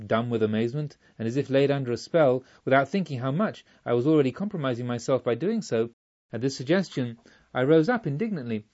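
Audiobook noblewoman narrator, voiced by a man in his own register: proud, grieving, formal, measured, slightly muffled recording. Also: medium pitch, a little mellow, close to an everyday voice. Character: proud, grieving noblewoman recounting her tale. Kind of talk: audiobook first-person narration.

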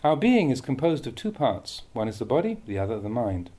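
A man reading a Buddhist meditation teaching aloud with measured, deliberate phrasing. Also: medium pitch, very animated, mellow. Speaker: Buddhist monk reading a translated dhamma talk.

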